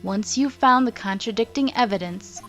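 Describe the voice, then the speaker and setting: A woman's voice: a little high, normal speed, fairly bright tone, highs cut off, faint music behind. A woman voicing a confident, encouraging female mentor lawyer, giving instructions in a fan-dubbed game. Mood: instructive. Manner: instructive, assured. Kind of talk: fan-dub game voice acting.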